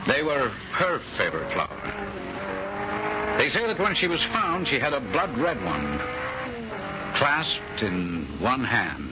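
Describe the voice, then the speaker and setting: An actor playing an evasive American man in a 1970s radio drama, speaking hesitantly as he confides dark family history. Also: wildly swooping pitch, slow pace, creaky, long pauses, exaggerated voice. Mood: wistful. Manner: hesitant, trailing off. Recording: noisy, dull 1970s radio recording, car rumble.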